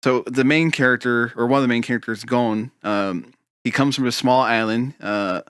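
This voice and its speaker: A man co-hosting a podcast in casual chat, explaining things in an animated way with wry asides. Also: mid-range voice, normal speed, smooth, no echo, clean studio sound. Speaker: podcast co-host.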